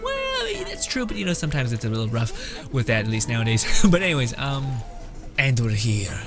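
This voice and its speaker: A man's voice: mid-range voice, wildly swooping pitch, brisk. A man streaming a game with casual, rambling commentary. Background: game music.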